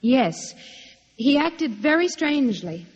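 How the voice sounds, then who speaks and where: An actress playing an earnest, dramatic secretary in a 1950s radio drama. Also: female voice, sits high, big pitch swings, takes it slowly, slightly rough, exaggerated voice. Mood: anxious testimony. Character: earnest, dramatic female office secretary testifying. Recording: muffled old recording, long hall reverb.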